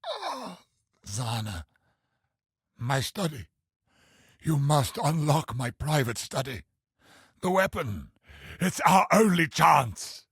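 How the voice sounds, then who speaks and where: An actor playing an ancient, imperious male cosmic villain in a video game, older and husky, with portentous, theatrical delivery. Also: fairly high pitch, huge pitch swings, a bit bright, no room sound, studio quality, exaggerated voice. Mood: frail, bewildered. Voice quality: gravelly, husky. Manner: slow, portentous, theatrical pronouncements.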